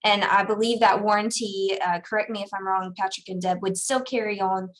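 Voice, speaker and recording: A woman's voice: mid-range voice, fairly even intonation, a little fast, rough recording. A woman working for an equipment program, speaking in conversational question and answer. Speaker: state equipment program staffer.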